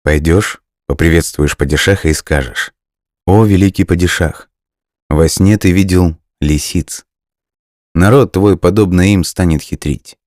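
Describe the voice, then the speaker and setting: A wise old-tale serpent, voiced by a man, offering measured, forgiving counsel. The voice is extremely deep and dry, in a storybook manner. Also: a little mellow, no echo. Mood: calm, forgiving. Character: wise, forgiving female talking snake of folklore.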